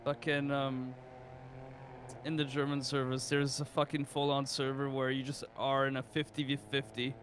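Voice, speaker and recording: A man's voice: mid-range voice, completely flat delivery, moderate pace, slight background noise. A man chatting casually while playing a game, offhand and swearing freely. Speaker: fellow player. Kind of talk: casual gaming chat.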